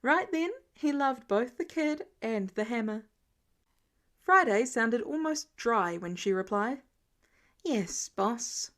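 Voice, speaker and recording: A woman narrates a written story. Her voice is on the high side. She reads scripted prose as the storyteller of an amateur audiobook. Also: expressive, takes it slowly, a bit bright. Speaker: podfic narrator.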